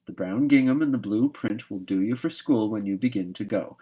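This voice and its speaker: A man's voice: on the low side, husky, muffled recording. Man reading as a stern, practical older woman. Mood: stern, sniffing. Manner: brisk, scolding, emphatic.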